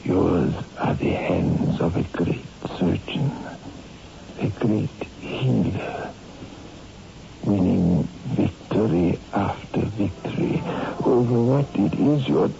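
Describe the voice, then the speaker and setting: A man acting a jealous, grave palm reader in a 1960s radio horror drama, speaking solemnly with dramatic pauses. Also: sits low, gravelly, background noise, heavily acted. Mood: solemn, exalted. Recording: muffled old radio recording with music.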